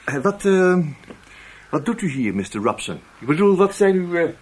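An actor playing a polite but insistent intelligence investigator in a radio play, probing with hesitant murmurs. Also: male voice, high-pitched, sing-song, moderate pace, husky, full character voice. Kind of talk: radio drama acting.

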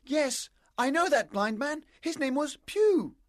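A man voicing an excitable, alarmed boy adventurer in a storybook, exclaiming urgently. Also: very high-pitched, a little slow, no echo, voice pushed up. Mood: eager. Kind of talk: storybook character dialogue.

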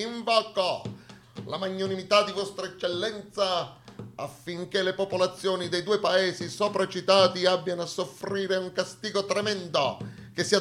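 A man's voice: sits high, a bit bright, slight background noise, pitched above the performer's own voice. A man playing an indignant nineteenth-century official declaiming a formal dispatch in a stage monologue. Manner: declamatory, formal, pleading for severity. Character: pompous, indignant 19th-century official dictating a dispatch for punishment.

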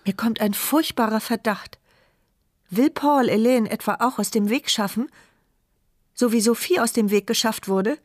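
An actress playing a wry, watchful woman narrating her silent inner thoughts in a measured radio drama style. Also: on the high side, dry recording, close to an everyday voice. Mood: suspicious. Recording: studio radio drama with music behind.

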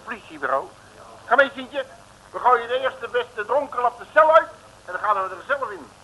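An actor playing a brash, jovial Dutch detective with colloquial, wisecracking bluster in an old radio comedy serial. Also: male voice, extremely high pitch, lively intonation, normal speed, bright, forceful tone, heavily acted. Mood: cocky. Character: brash, jovial, scrappy male detective, street-smart joker. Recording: noisy old radio recording.

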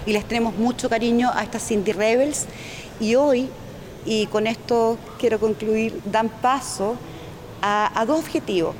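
A woman with a Chilean accent giving an informal public presentation, explaining in a halting way as she searches for words. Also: fairly high pitch, fairly even intonation, slight background noise, rough recording. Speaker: domestic workers' union representative, speaker.

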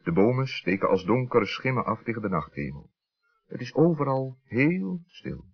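A man with a husky voice and a Netherlands Dutch accent, narrating a scripted children's story. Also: medium pitch, very animated, normal speed. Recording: muffled vintage radio recording.

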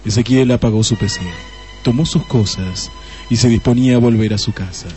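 Casting: male storyteller, mellow mid-low tone, scripted radio drama narration over faint music. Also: a little fast.

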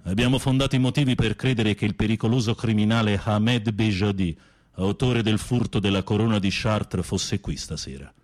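A man's voice: fairly low pitch, moderate pace, darkish tone, lightly acted. A man voicing a stern French police inspector, formal and commanding, as in film dialogue retold within a radio play.

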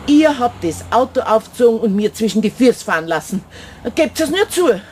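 A woman's voice: on the high side, very animated, moderate pace, a little mellow, a little hiss or hum. A woman playing an indignant elderly passer-by in a children's radio play, grumbling and accusing in a Bavarian accent.

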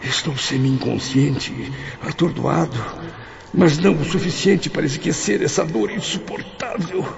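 A man voicing a tormented gravelly spirit. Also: a little high, unhurried, a crowd in the background. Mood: pained. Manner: solemn, pained first-person narration. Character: tormented male suicide spirit recalling his death. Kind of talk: radio drama narration. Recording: dull audio with music behind.

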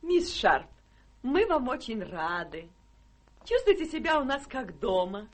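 Excitable doting mother, high, lively swinging pitch, motherly, radio play. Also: a little slow. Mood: kindly. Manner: gushing, motherly. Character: doting, excitable middle-aged mother of a genteel household. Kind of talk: theatrical radio play acting.

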